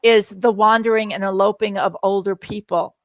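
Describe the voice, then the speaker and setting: A woman's voice: mid-range voice, fairly even intonation, moderate pace, dry recording. A middle-aged woman chatting casually in a live Q&A, telling anecdotes and repeating her words as she goes. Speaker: guest parent and experienced product user answering viewer questions.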